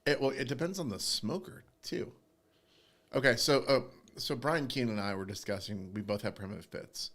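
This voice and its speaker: A man's voice: mid-range voice, moderate pace, fairly bright tone. A man co-hosting a barbecue podcast, chatting casually and explaining step by step with frequent restarts. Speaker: podcast co-host. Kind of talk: casual chat.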